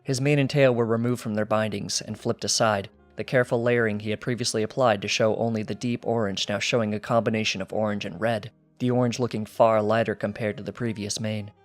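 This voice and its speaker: A man's voice: lowish voice, fairly even intonation, a little fast, few pauses, faint music behind. A man reading fan fiction as scripted storybook narration. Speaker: audiobook reader.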